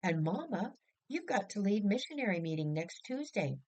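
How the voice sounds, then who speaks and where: A woman's voice: medium pitch, big pitch swings, normal speed, darkish tone, voice pushed up. A woman voicing eager, folksy family members chattering their news in a storybook reading.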